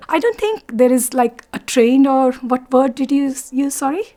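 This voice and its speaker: A woman in her forties from India with an Indian accent, telling her story in an interview, softly and haltingly, often pausing to search for words. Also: high voice, lively intonation. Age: 40s. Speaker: interviewee, doctor.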